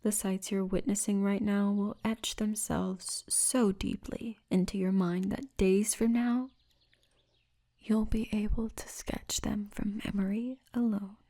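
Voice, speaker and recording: Woman playing a slow, breathy, seductive orc hostess. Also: mid-range voice, steady tone, warm tone. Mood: inviting, teasing. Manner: unhurried, teasing, breathy half-whisper. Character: seductive, poised orc woman, fantasy pleasure-house guide. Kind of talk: ASMR roleplay.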